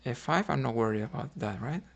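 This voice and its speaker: A man's voice: medium pitch, lively intonation, fairly quick, gravelly, fairly bright tone. A man from Cuba streaming chess, thinking aloud about his moves, often adding "right?" and chatting with viewers. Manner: chats with viewers between moves. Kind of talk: live chess commentary while playing.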